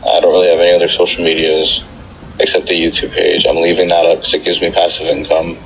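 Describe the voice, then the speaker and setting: A man's voice: low-pitched, completely flat delivery, quick pace. A man talking casually on a lo-fi online voice call. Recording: dull lo-fi voice call, faint noise.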